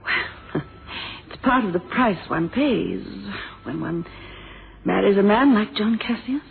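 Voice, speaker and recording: An actress playing a guarded, genteel widow under police questioning in a radio mystery, poised and evasive. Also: female voice, medium pitch, lively intonation, takes it slowly, vocal fry, breathy edge, full character voice. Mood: guarded, polite. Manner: poised, evasive, turning clipped and defiant. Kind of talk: 1970s radio mystery drama acting. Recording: muffled, noisy old radio recording.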